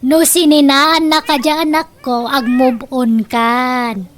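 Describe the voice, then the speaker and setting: A woman's voice: high-pitched, little pitch movement, takes it slowly. An actress playing a stubborn, meddling old mother in a radio drama, with a Filipino accent and a scolding, dismissive manner. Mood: indignant. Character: stubborn, meddling old mother who indulges her son.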